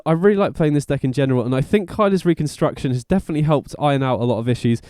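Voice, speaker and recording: A man's voice: on the high side, warm tone, dry recording, clean studio sound. A man giving informal, slangy commentary as host of a card game guide. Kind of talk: casual gameplay commentary.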